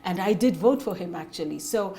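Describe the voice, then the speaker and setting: A woman's voice: on the low side, expressive, fairly quick, warm tone. Articulate Kenyan woman in her forties. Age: 40s. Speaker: Senate aspirant, guest. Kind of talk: interview answers.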